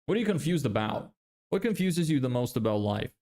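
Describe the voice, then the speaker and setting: A man's voice: medium pitch, big pitch swings, fairly quick, a little mellow. Young man, from Lithuania, American-sounding accent, casual streamer chat, self-deprecating, swears. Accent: American-sounding English. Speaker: livestreamer building a business. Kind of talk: casual livestream chat reading viewer comments.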